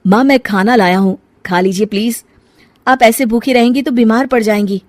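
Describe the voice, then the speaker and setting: A woman's voice: a little high, normal speed, lightly acted. A woman voicing a devoted young adult son in a cartoon story, earnest and coaxing. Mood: gently insistent. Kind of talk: cartoon family story dialogue.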